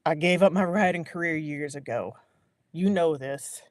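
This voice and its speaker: A woman's voice: low-pitched, normal speed, no room sound, close to an everyday voice. A woman voicing a young man, a farm-raised botanist, with a dry muttering manner.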